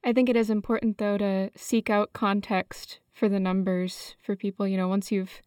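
A woman's voice: mid-range voice, flat delivery, moderate pace, a little mellow, no room sound, studio quality. A woman co-hosting a conversational podcast, speaking reflectively and phrasing her points tentatively. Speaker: podcast co-host.

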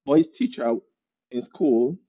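A man with a Nigerian accent talking as a podcast guest, telling animated stories and laughing mid-sentence. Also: medium pitch, unhurried, dull audio. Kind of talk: casual interview conversation.

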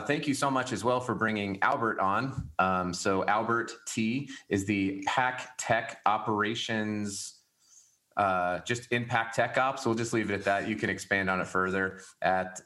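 Guy hosting a chat, friendly, lots of uhs, lowish voice. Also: pushed and bright. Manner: friendly, halting with fillers. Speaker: host. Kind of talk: casual interview talk.

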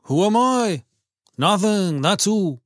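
Seeking a man to act a complacent old immigrant husband, high pitched, Yiddish-American inflection, shrugging off everything, for storybook narration. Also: animated, slow, dry recording, studio quality. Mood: indifferent, dismissive. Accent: Yiddish-inflected American English. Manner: complacent, dismissive, roundabout. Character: indifferent, grumbling old retired husband.

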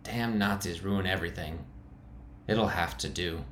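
A man's voice: low voice, measured pace, a bit bright, a little hiss or hum. A man playing a fussy male survivor, snobbish and exasperated in his talk. His voice is a touch raised, echoing in a roomy space. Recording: roomy echo.

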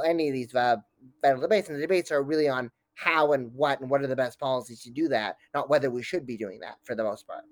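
Male expert guest, rapid analytical delivery with stumbling self-corrections, dry recording. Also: mid-range voice, no room sound. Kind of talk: fast analytical interview commentary.

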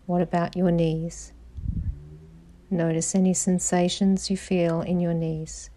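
Slow, mellow woman guiding meditation. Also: fairly low pitch, a little hiss or hum. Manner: calm, soothing, measured instructions with pauses. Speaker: meditation guide, podcast host. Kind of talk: slow guided meditation narration.

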